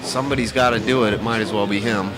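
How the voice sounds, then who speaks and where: A man's voice: lowish voice, steady tone, fast talker, a loud crowd, rough recording. An American man from Ohio giving casual live sports commentary in a chatty, folksy, slangy way. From Ohio, USA. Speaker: pool match commentator.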